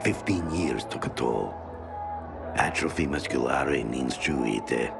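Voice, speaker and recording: A gravelly, gruff fighter. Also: male voice, rumbling low, lively intonation, slow pace, heavily acted. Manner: brusque, mixes a foreign tongue into speech. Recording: loud game music behind.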